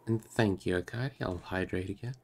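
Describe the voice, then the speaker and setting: Low-voiced man reading aloud. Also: brisk, vocal fry, a little mellow. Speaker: streamer reading a book aloud. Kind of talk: audiobook-style narration with casual livestream chat.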